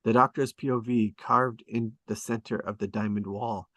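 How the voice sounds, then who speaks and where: A man with a slightly low voice narrates a screenplay's stage directions. He is measured and descriptive, breaking the action into short dramatic phrases. The sound is dry, with very little room in it. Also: fairly even intonation, fairly bright tone, no room sound. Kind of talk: scripted screenplay narration at a table read.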